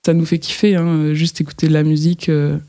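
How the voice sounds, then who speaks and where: Female model, interview guest, mellow low-toned voice, hesitant delivery with lots of fillers. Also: flat delivery, a little fast. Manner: hesitant, full of fillers and restarts. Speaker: fashion model. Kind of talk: casual interview chat.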